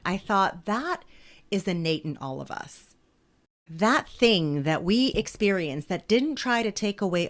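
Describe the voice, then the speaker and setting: A woman in her forties speaks as an interview guest, reflective and measured. Her voice sits at an ordinary middle pitch, and she laughs easily between thoughts. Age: 40s. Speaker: author and guest.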